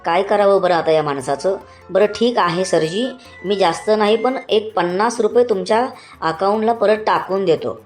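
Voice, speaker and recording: A woman's voice: mid-range voice, moderate pace, soft background music, close to an everyday voice. A woman voicing a patient male customer care agent in a comic cartoon, formal and courteous as she explains things. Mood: firm but courteous. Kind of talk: comic cartoon phone-call sketch.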